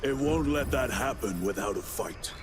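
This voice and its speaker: A man's voice: medium pitch, lively intonation, moderate pace, close to an everyday voice. Man playing stern, commanding space marine lieutenant. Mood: grave. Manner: formal, commanding. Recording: loud music behind.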